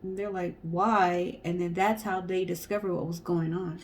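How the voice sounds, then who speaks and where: A woman's voice: on the low side, level tone, moderate pace, a little hiss or hum. A woman co-hosting a storytelling show, reading a story in a casual way and addressing the audience.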